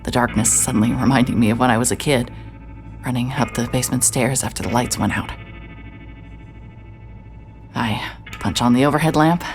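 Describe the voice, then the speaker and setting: An actress playing a hard-hearted, sardonic private investigator, narrating in a wry, self-mocking way. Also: female voice, rumbling low, moderate pace, pauses often, soft background music. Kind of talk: first-person scripted narration.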